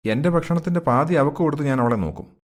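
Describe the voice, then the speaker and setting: A man's voice: fairly high pitch, animated, fast, natural voice. A man playing a loving, protective middle-aged father in a moral story, with an earnest, affectionate but firm manner. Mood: firm, reproachful.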